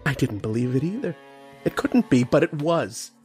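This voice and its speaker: A man playing a grave, haunted archaeologist in old-time radio horror, with dramatic, deliberate delivery. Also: medium pitch, big pitch swings, darkish tone, faint music behind, close to an everyday voice. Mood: awed, horrified.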